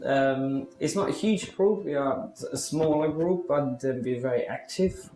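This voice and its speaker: A man's voice: mid-range voice, reverberant, low-quality recording. A man talking enthusiastically about his local group in a casual interview, often restarting phrases. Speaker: local couch surfing group organizer.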